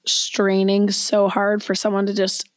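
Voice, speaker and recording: A young woman co-hosting a podcast, hoarse and raspy from losing her voice, chatting casually with lots of "like" fillers. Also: medium pitch, monotone, measured pace, no room sound. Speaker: podcast co-host. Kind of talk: casual chat.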